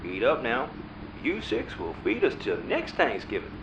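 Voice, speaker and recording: Deranged Southern backwoods space cannibal. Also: male voice, mid-range voice, huge pitch swings, normal speed, lo-fi sound. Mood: gloating. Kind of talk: sci-fi audio comedy acting. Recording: roomy, noisy ambience.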